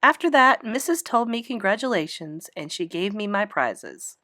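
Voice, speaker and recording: A woman narrating a children's book aloud in an expressive read-aloud style. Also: mid-range voice, normal speed. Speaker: storybook reader.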